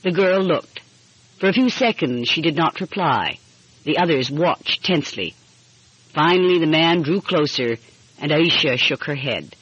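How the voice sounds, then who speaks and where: A woman's voice: low voice, animated, measured pace, fairly bright tone, slightly rough, dry recording. A woman reading a mystery story aloud as scripted audiobook narration. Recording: muffled, dull lo-fi audio.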